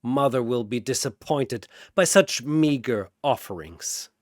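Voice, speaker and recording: A man's voice: mid-range voice, lively intonation, a little slow, no echo, studio quality, lightly acted. A man voicing an arrogant, cold swordsman villain with haughty contempt, in a fantasy battle story. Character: arrogant, cold one-winged swordsman villain, easily enraged.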